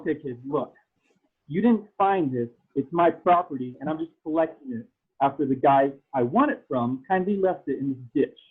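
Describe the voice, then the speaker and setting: A man's voice: on the high side, animated, moderate pace, breathy edge, phone-quality sound. A man playing an arrogant, condescending pod-racing gang boss in a table read over a thin video-call connection. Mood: dismissive. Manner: condescending, taunting. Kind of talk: table read of fan fiction.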